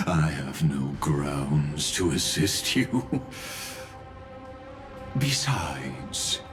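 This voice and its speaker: A sinister scholar from a fantasy game with an extremely low voice. He speaks very slowly, with theatrical courtesy and amused condescension, savoring his eloquent phrasing. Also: heavily acted. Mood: amused, mocking. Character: sinister, eloquent, amused male scholar-schemer of a doomed world. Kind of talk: English game dub voice acting.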